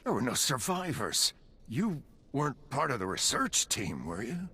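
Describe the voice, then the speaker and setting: A jaded, wisecracking soldier in a video game. His voice is gravelly and sharp, with animated pitch and faint ambient noise behind it. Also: a little slow, exaggerated voice. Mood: wry, rattled. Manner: sardonic, slangy. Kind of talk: video game cutscene acting.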